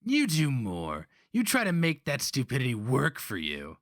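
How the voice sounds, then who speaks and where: A man's voice: mid-range voice, wildly swooping pitch, moderate pace, dry recording, studio quality, voice pushed up. A man voicing an angry, defiant thirteen-year-old barbarian boy in a dramatic fantasy reading, blunt and indignant.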